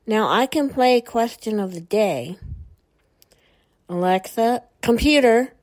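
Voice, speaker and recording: Slow-talking woman with a dark voice. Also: fairly high pitch. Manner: short spoken commands, relaxed explaining. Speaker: presenter demonstrating a smart speaker. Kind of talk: casual demo walkthrough.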